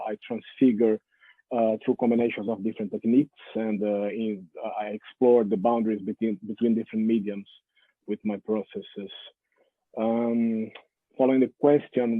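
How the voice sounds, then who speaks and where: A man from Brazil, a guest artist on a video-call panel, speaking haltingly with many fillers and restarts. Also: fairly low pitch, no echo. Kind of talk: panel discussion. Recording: muffled phone-like line, dry.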